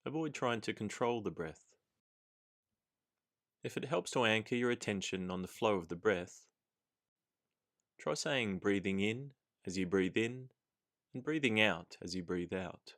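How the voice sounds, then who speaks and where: A man narrating a guided meditation as the guide, in a calm, soothing, measured manner with gentle instructions. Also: fairly low pitch.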